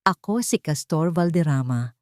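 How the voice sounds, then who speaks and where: A woman's voice: low-pitched, animated, normal speed, darkish tone, dry recording, clean studio sound. A woman with a Filipino accent voicing a cold, brooding rich man in a romance story, blunt and businesslike. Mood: cold, knowing.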